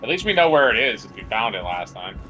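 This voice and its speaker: A man's voice: on the low side, big pitch swings, fast talker, pushed and bright, soft background music, poor audio. A man casually chatting as co-commentator on a game stream. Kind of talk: casual gameplay chat.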